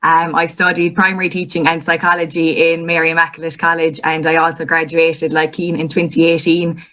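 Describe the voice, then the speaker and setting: Low-voiced woman, flat delivery. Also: fairly quick. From North Kerry, Ireland. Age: 20s. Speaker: guest, primary school teacher.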